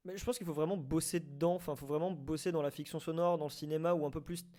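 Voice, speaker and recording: A man's voice: on the high side, steady tone, fast talker, dark tone. A man in a casual debate, speaking colloquially and repeating words as he searches for his phrasing. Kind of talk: informal debate, casual discussion.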